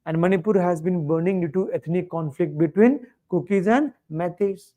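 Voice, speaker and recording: High-voiced Indian English lecturer. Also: male voice, moderate pace, mellow. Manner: emphatic, asks rhetorical questions and answers them. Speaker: teacher. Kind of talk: coaching class lecture.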